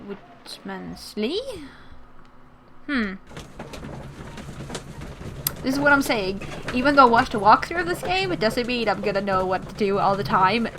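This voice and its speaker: A woman's voice: mid-range voice, lively intonation, a little slow, vocal fry, pauses often. Woman gamer with mixed transatlantic accent, noisy recording. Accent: mixed American and British. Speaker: gaming video host. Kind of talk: casual game playthrough commentary, reading game text aloud.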